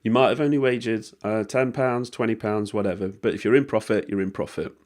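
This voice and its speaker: A British man with a voice worn hoarse by a cold, talking viewers through an online walkthrough in a rambling, informal way, with swearing and lots of fillers. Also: fairly low pitch, fairly even intonation, brisk, darkish tone. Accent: British English. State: losing his voice from a cold. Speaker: vlogger explaining money-making offers. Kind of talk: casual screen-recording walkthrough.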